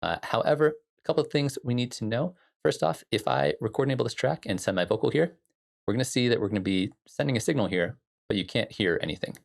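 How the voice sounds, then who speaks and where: A man giving a casual software tutorial as a music production instructor, explaining things in an informal way. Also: mid-range voice, lively intonation, quick pace, gravelly.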